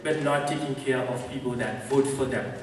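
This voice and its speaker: A man's voice: mid-range voice, steady tone, moderate pace, very noisy. A local man giving impassioned public testimony as a resident and advocate at a city council meeting. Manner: impassioned, rising to emphatic appeals. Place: large council chamber indoors. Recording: echoey council chamber from far off.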